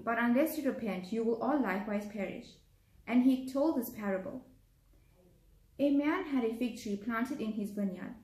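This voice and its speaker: A young woman reads scripture formally. Her voice is a little high, picked up across a table in a very echoey room at home. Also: darkish tone. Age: young adult. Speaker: scripture reader. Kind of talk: formal Bible reading aloud. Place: living room with piano. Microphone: camera across a table.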